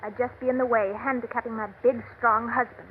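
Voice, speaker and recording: An actress playing a stubborn, devoted young ranch wife in an old radio drama, earnest and theatrical. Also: female voice, fairly high pitch, flat delivery, a little fast, lightly acted. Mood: hurt, defiant. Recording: noisy, tinny vintage radio recording.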